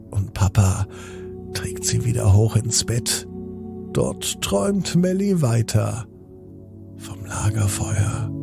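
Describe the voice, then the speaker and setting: A man narrating a scripted bedtime story for children, in short simple phrases with deliberate pauses. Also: medium pitch, vocal fry.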